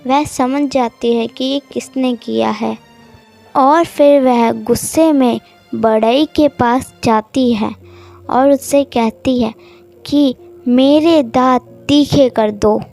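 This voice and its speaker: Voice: high-pitched, steady tone, slow, vocal fry, darkish tone, faint music behind. A young-sounding storyteller narrating an animal story for children.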